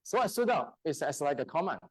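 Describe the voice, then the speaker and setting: A man from China in his thirties, whose first language is a Chinese dialect, telling a personal testimony in church in a halting, storytelling way with frequent laughter. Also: fairly high pitch, big pitch swings, no echo. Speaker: church speaker. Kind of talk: testimony sermon. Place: church hall.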